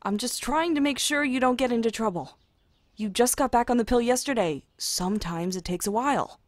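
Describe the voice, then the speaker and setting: A woman's voice: on the high side, a little fast, no room sound, close to an everyday voice. A woman acting a fussy, protective family member in an audio drama.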